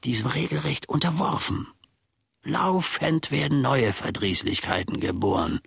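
Gravelly, slow-musing grumbler of a manservant. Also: male voice, medium pitch, very animated, fairly bright tone, dry recording, studio quality, heavily acted. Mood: morose, rambling. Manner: rambling, theatrical, pseudo-learned, halting. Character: grumbling, pedantic, world-weary old-fashioned male servant philosophizing. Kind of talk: radio play monologue.

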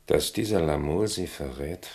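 A man's voice: extremely low pitch, normal speed, a little mellow. An actor playing a king's loyal, frank attendant in a German radio drama, firm and admonishing.

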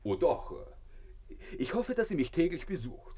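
A man's voice: a little high, very animated, measured pace, dark tone, slightly rough, full character voice. An actor in a radio play voicing a vain, blissfully self-satisfied man who lectures pompously from inside a crocodile. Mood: grandly self-satisfied. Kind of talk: theatrical radio play acting.

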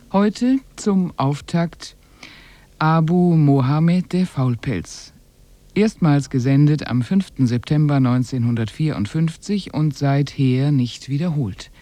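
A male radio presenter reads a scripted introduction in a formal, measured manner. His voice is slightly high yet mellow, recorded close and dry. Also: no echo.

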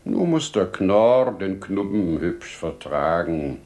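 An actor playing a wise, humane older merchant, warm and earnest, in 1950s radio-play style with theatrical, deliberate declamation. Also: male voice, low voice, animated, full character voice. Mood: reflective, gently reproving.

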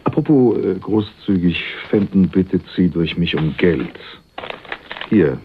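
A sardonic go-between in a radio thriller. His very deep, gravelly voice moves in lively swings, teasing and casual. The sound is that of an eighties radio play. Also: a little slow, slight background noise. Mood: teasing, probing. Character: smooth, wry male go-between in a crime story. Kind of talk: 1980s German radio crime drama acting. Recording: dull, band-limited radio play recording.

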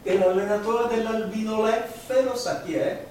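A man's voice: high voice, fairly even intonation, unhurried, a little mellow. A man doing colloquial comic stage banter as a performer who throws in asides. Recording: echoey, distant, faintly noisy live recording.

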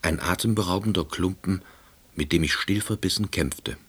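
A middle-aged ex-official narrating an audio drama. His voice is very low and husky, with a dry, deadpan delivery and a wry, wordy manner. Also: moderate pace, heavily acted. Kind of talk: scripted first-person narration.

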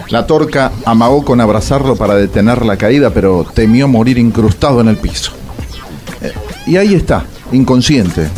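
A man with an Argentine accent narrating a scripted comic story theatrically, with dramatic pauses. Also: medium pitch, a little mellow. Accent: Argentine, Rioplatense.

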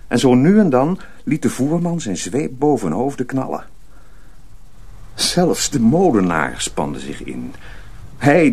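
Lively male radio drama narrator. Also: medium pitch, slightly rough. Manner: expressive, suspenseful.

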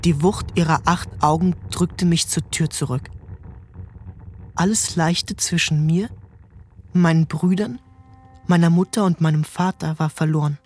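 A young actor narrating in first person as a troubled young man in a literary radio drama, calm and measured. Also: on the high side, a little hiss or hum, close to an everyday voice. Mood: reflective. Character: troubled young man looking back on his youth.

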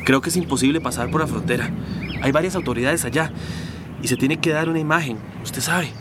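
An actor playing a nervous, grudging, corrupt official with a wary way of talking in a radio soap. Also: male voice, fairly high pitch, a little fast, natural voice. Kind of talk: radio soap opera dialogue. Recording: noisy rural outdoor scene. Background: birds, outdoor ambience.

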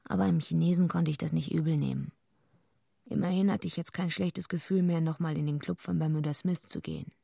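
Tough young narrator for radio drama: creaky lowish voice, dry wit, close dry recording. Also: female voice, fairly even intonation, fairly quick, mellow, no echo, full character voice. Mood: wry, matter-of-fact. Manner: dry, matter-of-fact. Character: tough, streetwise young woman wrestler, wry narrator.

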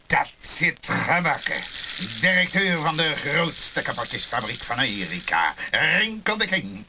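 An actor playing a fawning, scheming motel keeper in a Dutch radio play, with hesitant, obsequious sales patter. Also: male voice, mid-range voice, background noise. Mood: calculating. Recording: music behind the voice.

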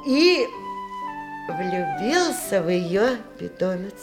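An actress playing a gentle aunt in a radio period comedy, reading and pleading in a measured, expressive way. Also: female voice, medium pitch, music in the background. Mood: reading aloud.

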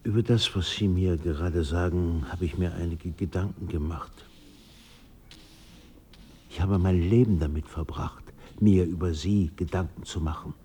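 An actor playing a restless literary man in a radio drama, polite and measured while he argues. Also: low voice, steady tone, mellow, husky. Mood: uneasy.

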